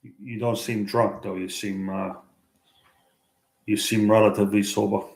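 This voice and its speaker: Guy on a night livestream, low flat voice, keeps stalling and restarting, echoey. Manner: hesitant, halting, many restarts and fillers. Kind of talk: late-night street livestream chat. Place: out at night in a city.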